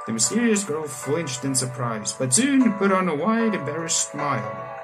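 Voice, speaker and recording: A man in his late teens hosting a let's play, reading visual novel text aloud and breaking into animated asides. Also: a little high, moderate pace, over music, rough recording. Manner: animated asides, exclaiming between read passages. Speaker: gaming channel host. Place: small room indoors at home.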